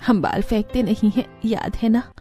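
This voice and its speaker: A caring village mother of a grown daughter, played by a woman, poor and gentle, in a cartoon story. The voice is high yet soft-toned, over light music. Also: steady tone, normal speed, natural voice. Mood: reassuring. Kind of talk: Hindi cartoon story dialogue.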